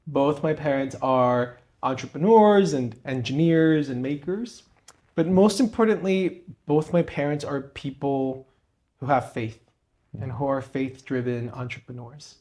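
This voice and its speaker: A man's voice: mid-range voice, unhurried, darkish tone. A young man from Peru, co-host of a podcast, telling his story and giving advice in an earnest, motivational way. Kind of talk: conversational interview.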